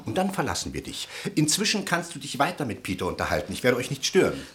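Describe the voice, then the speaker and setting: A man's voice: mid-range voice, wildly swooping pitch, fast talker, a bit bright. A man playing a breezy, pushy, boastful bon vivant in a German radio play, exuberant, insistent and theatrical.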